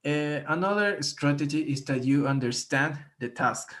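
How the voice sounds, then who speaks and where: A man from Ecuador with a Spanish accent, giving a class presentation on speaking strategies, careful and halting with many restarts. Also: a little high, a bit bright. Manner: careful, with frequent fillers and restarts. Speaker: student presenter.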